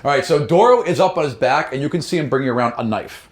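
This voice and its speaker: A man's voice: mid-range voice, lively intonation, quick pace. A man narrating a tabletop game as game master, switching between vivid storytelling and casual rulings. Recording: roomy echo, faint background noise.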